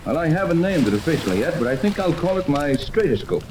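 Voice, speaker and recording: An actor playing a confident, commanding yacht captain and earnest inventor in a 1930s radio serial. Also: male voice, a little high, very animated, fast talker. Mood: proud, explaining. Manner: commanding, explanatory. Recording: noisy, echoey 1930s radio recording.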